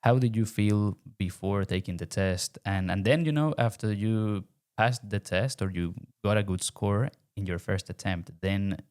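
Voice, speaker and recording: A man with a fairly low voice hosting a podcast interview. He speaks as a teacher and puts direct, friendly questions to his guest. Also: unhurried, a little mellow. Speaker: host, English test teacher.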